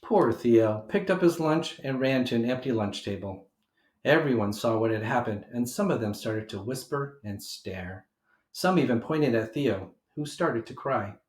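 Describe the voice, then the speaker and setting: A man narrates a children's story aloud at a middle pitch. His delivery is expressive and emphatic, aimed at young listeners. The homemade recording has noticeable room echo around the voice.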